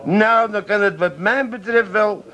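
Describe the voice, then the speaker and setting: An actor playing a jovial, crafty drinking pal, chatty and casually bossy, in a comedic radio play. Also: male voice, sits high, unhurried, bright, forceful tone. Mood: breezily instructing. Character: jovial, crafty pub mate of the schemer.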